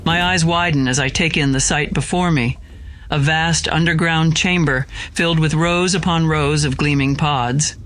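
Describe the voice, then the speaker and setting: A man narrating an audio drama in the first person as an awed, anxious young heir, in a measured dramatic way. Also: fairly high pitch, steady tone, a bit bright, natural voice.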